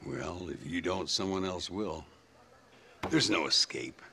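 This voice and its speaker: An actor playing a world-weary, wry old American gunslinger with a gravelly drawl in a western video game. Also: male voice, deep voice, lively intonation, unhurried, pushed and bright, a little hiss or hum, exaggerated voice. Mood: rueful. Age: older. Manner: wry, drawling, chuckling cynicism.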